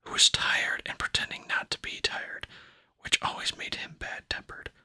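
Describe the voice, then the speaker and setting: A man whispering in a breathy, hushed and soothing way, as an ASMR host reading a book aloud. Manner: slow, soothing, hushed delivery.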